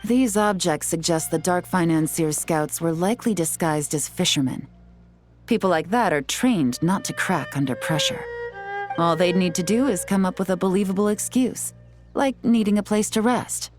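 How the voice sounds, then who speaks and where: A woman's voice: lowish voice, normal speed, a little mellow, faint music behind, natural voice. A woman playing a cool, shrewd, confident intelligence agent in a video game, speaking in an assured, instructive way. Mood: composed, analytical.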